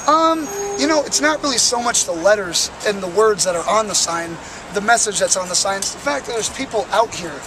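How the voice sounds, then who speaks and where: A young man with a raspy, worn voice, speaking earnestly and with passion as a protester in an outdoor street interview, with frequent fillers. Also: high voice, animated, fairly quick, hardly any pauses, noisy background. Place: outdoors on a city plaza by traffic.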